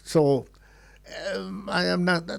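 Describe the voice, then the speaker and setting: A man's voice: high-pitched, slow pace, creaky. A Jamaican man in a bantering debate as a guest, talking colloquially and slipping between patois and English. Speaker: guest, political speaker.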